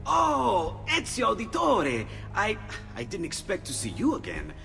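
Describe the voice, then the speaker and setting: An actor playing an excitable, friendly, courteous artisan and inventor. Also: male voice, high-pitched, a little slow, vocal fry, full character voice. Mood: flustered, welcoming. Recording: long hall reverb, faint music.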